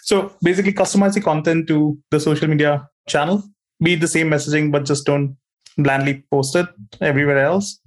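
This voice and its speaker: A man with a fairly high voice hosts an interview podcast. He asks clear questions, thanks his guest and restates his points in tidy summaries. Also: fairly even intonation, brisk. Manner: polite, summarizing. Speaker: podcast host.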